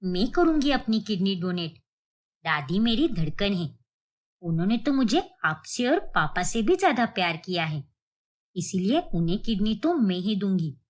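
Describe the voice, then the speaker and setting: A woman's voice: mid-range voice, very animated, measured pace, voice pushed down. A woman voicing a devoted young granddaughter, insistent and emotional, in a cartoon melodrama. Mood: determined.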